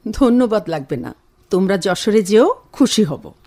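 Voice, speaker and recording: Lively, caring elder sister. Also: female voice, mid-range voice, moderate pace. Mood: kindly. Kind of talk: Bengali radio drama acting.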